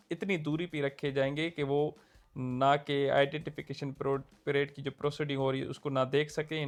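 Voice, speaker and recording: A man with a Pakistani Urdu accent explaining legal procedure point by point, pausing with fillers. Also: on the high side, vocal fry. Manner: steady, numbered points, frequent fillers. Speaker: law lecturer. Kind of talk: explanatory lecture.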